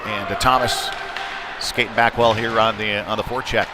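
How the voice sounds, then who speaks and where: A man's voice: on the low side, level tone, moderate pace, over a noisy crowd. Man calling hockey over rink noise. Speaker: play-by-play sports commentator. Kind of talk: live youth hockey play-by-play commentary. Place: indoor ice hockey rink. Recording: noisy ice rink ambience. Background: clear rink noise and ambience.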